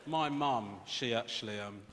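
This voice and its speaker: A man with a British accent doing a stand-up comedy set on a theatre stage, telling stories in a dry, deadpan way. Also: mid-range voice, a little slow, slight background noise. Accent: British English. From Sri Lankan heritage. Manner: dry, deadpan storytelling with lively swings. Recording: roomy theatre with loud audience laughter. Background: audience laughing.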